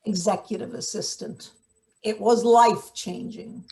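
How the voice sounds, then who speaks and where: A woman's voice: medium pitch, fairly even intonation, a little slow, mellow, natural voice. A woman acting a brusque, scheming, cynical maintenance boss in a read-through of a satirical stage play. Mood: sardonic. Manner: bossy, clipped, sardonic.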